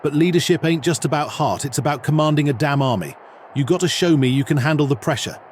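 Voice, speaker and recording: A man's voice: on the high side, fast, slight background noise, lightly acted. A man playing a swaggering male wrestling brand boss who talks in a blunt, slangy, laid-back way during a promo.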